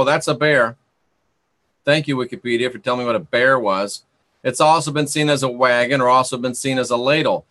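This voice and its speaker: A man with a bright, forceful voice at a middling pitch, hosting an informal live talk. He thinks out loud in a conversational, opinionated way. He tends to ramble and circles back to repeat his points for emphasis. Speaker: host of an esoteric talk livestream. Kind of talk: informal live commentary, reading aloud and musing to viewers.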